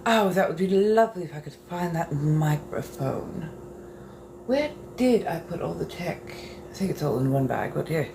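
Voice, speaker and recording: A woman's voice: low-pitched, animated, normal speed. A woman hosting a casual livestream from a bathroom as she gets ready. She talks in a flirtatious, theatrical way and calls her viewers darling. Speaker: livestream host. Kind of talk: casual livestream chat while getting ready. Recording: clear background noise.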